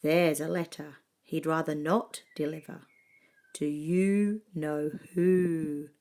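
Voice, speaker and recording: A woman reading a children's picture book aloud in an expressive way, with dramatic pauses and emphasis. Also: deep voice. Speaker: storybook reader.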